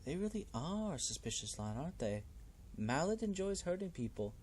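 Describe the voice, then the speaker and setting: A man's voice: a little high, sing-song, moderate pace, a little mellow, a little hiss or hum, voice pushed up. A man voicing a fussy, genteel old cannibal cult leader in a game, with a polite, wordy, fluttery manner. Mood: fretful, genteel.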